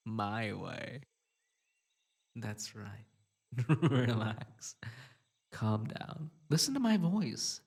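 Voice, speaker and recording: A possessive bunny spirit, played by a man, who coaxes in a slow, breathy murmur broken by unsettling giggles. The gravelly, husky voice swings between wounded pleading and sinister calm. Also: medium pitch, heavily acted. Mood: gloating, then hypnotic. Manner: soft coaxing delivery broken by giggles and sudden outbursts. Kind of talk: audio roleplay drama.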